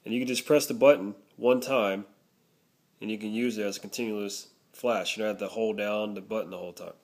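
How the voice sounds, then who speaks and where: A man's voice: fairly low pitch, steady tone, a little fast. Man giving a casual step-by-step product review. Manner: explanatory, step-by-step.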